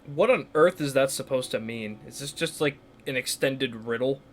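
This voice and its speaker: A woman's voice: very deep voice, normal speed, slight background noise. A woman giving casual, slangy, playful and wry commentary as she plays a video game. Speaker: gamer commenting on her playthrough.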